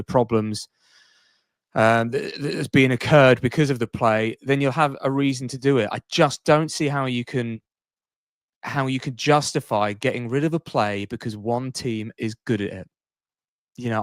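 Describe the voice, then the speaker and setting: A man's voice: mid-range voice, clean tone, dry recording. A man co-hosting a podcast, casually debating sport and repeating himself to make his point. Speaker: podcast co-host.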